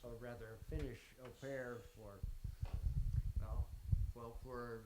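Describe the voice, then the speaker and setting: In a roomy, echoing space with clear background noise, a man speaks up from among a class. His pitch is average and his remarks are casual and personal. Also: little pitch movement, takes it slowly. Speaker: class attendee.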